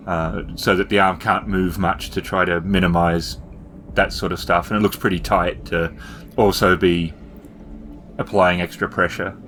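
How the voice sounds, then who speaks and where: A man's voice: deep voice, a bit bright, a little hiss or hum. A man in casual tabletop game talk, explaining details in a rambling, self-correcting way. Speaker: tabletop game player or storyteller.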